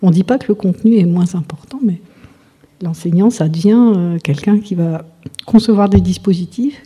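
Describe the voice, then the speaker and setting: A woman's voice: on the low side, normal speed, dark tone, breathy edge. A woman explaining as a university teacher in an interview, in a didactic, emphatic way.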